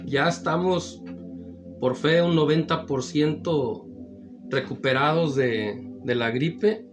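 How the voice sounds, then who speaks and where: Slow-spoken, slightly hoarse male preacher. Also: a little high. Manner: repetitive addresses, drawn-out phrases. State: slightly hoarse after the flu. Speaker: evangelical preacher and program host. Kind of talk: slow, warm-toned radio-style greeting and preaching. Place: studio in Pomona, California. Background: background music.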